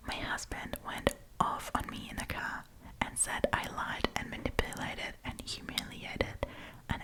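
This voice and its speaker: Woman, soft whisper, breathy, ASMR storytime host, chatty, gossipy, confiding. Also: low-quality recording. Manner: chatty, gossipy, addresses listeners as friends.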